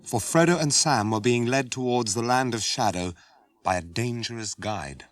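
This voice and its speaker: A man's voice: mid-range voice, moderate pace, recorded from a distance, lightly acted. A man playing the solemn narrator of an epic quest in a scripted radio drama. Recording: radio drama with music behind.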